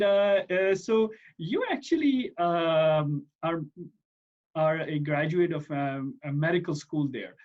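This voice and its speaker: A man hosting an informal interview with halting, deliberate phrasing, recorded with dull, muffled sound. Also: on the high side. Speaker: interview host.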